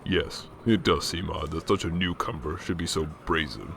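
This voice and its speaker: An actor playing a calm, brooding vigilante hero in a radio drama, with a terse, deadpan manner. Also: male voice, a bass voice, moderate pace, vocal fry, very noisy. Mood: calm, resolute. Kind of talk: radio drama acting and narration.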